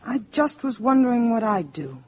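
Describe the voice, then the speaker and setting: A woman's voice: on the high side, sing-song, normal speed, a little mellow. An actress playing a sensible, fair-minded mother in a 1950s radio drama, speaking politely and reasonably. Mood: reasonable. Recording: dull, hissy 1950s radio recording.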